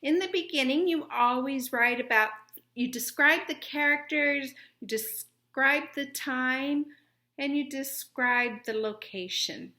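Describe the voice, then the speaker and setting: Slow, high-voiced woman reading to children. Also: bright, forceful tone. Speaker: story reader and teacher. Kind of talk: children's read-aloud.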